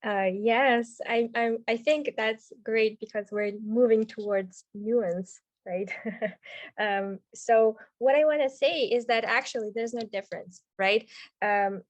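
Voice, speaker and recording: A woman's voice: on the high side, takes it slowly, dry recording. A woman leading a writing webinar over a video call, chatty and checking in with "right?" often. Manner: conversational, frequent "right?" checks and fillers. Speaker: webinar instructor. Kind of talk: teaching a live webinar.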